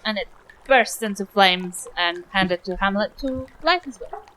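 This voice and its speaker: A woman's voice: mid-range voice, moderate pace, fairly bright tone, a little hiss or hum, low-quality recording. A New Zealand woman playing a live tabletop game, casual and politely hesitant as she describes her moves. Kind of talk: casual improvised play before an audience. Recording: live show with music underneath.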